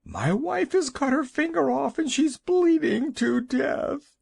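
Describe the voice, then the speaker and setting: A reader voicing a panicked village husband who shouts wildly for help. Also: sits high, expressive, slow pace, creaky, pitched above the performer's own voice, full character voice.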